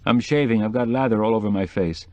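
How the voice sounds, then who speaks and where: A man voicing a reserved, wry middle-aged journalist, who speaks in a measured, dry way. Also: lowish voice, dry recording, close to an everyday voice.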